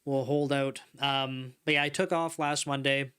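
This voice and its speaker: A man in his mid thirties talks casually to his livestream chat into a desk microphone in a home room. His voice is smooth and clean, sitting at a middle pitch. He rambles easily and laughs often. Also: steady tone, measured pace, fairly bright tone. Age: 30s. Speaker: livestream host. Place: home studio room with couch and neon lights. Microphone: desk microphone in front.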